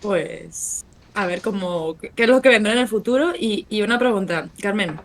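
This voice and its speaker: A woman's voice: mid-range voice, normal speed, a little hiss or hum. A woman host in a casual panel discussion, joking and putting questions to her guests.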